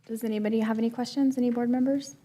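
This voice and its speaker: A woman chairing a board meeting, brisk and effusive in her thanks. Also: a little high, fairly even intonation, dark tone.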